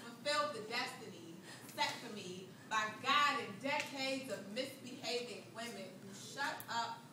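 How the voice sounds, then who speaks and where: A woman performing a spoken word poem live on a club stage, rhythmic and building from hushed lines to loud declamation. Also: on the high side, unhurried, noisy background. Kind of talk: slam poetry performance. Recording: echoey club recorded from far off.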